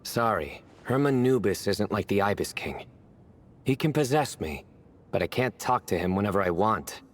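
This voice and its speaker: A man playing a serious, deadpan young man with dry humor in a video game. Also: on the low side, normal speed, slight background noise, close to an everyday voice. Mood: matter-of-fact. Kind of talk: video game character acting.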